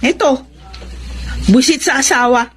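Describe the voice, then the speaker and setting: A woman's voice: sits high, moderate pace, fairly bright tone, a little hiss or hum. A woman with a Filipino accent playing a brash, irritable, defiant wife in a radio drama, whiny and snappy. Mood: sulky, casual.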